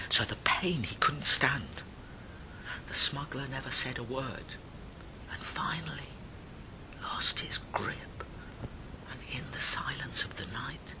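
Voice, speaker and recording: A man telling a ghost story in verse with theatrical delivery, moving between hushed and emphatic phrases with dramatic pauses. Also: mid-range voice, vocal fry, rough recording.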